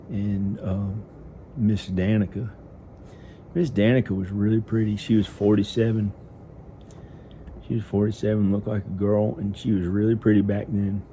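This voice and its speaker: Low, dark-voiced man storytelling in monotone. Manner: hesitant, halting, childlike simple phrasing with repetition. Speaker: amateur storyteller narrating own improvised story. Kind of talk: improvised first-person storytelling. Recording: noticeable background noise. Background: ambient sound effects.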